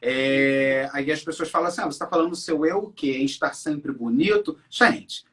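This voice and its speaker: A man's voice: fairly high pitch, normal speed, fairly bright tone. A Brazilian man in his forties speaking as an interview guest, telling his story emphatically and often addressing the audience with tag questions. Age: 40s. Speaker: interview guest, English teacher. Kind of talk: conversational interview, personal storytelling.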